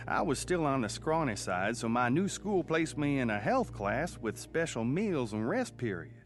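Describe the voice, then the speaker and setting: A man's voice: medium pitch, very animated, normal speed, lightly acted. An actor playing a folksy man narrating memories of his rural boyhood in an old-time radio drama. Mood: reminiscent. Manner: plain-spoken, reminiscing storyteller.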